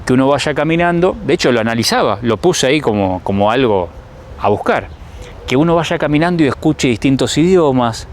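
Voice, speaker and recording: Argentine man from Rosario, thirties, casual vlog talk, middle pitch, faint street noise behind. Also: lively intonation, a little fast. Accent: Argentine Spanish, Rosario. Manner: reflective, thinks aloud, addresses viewers directly. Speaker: travel vlogger.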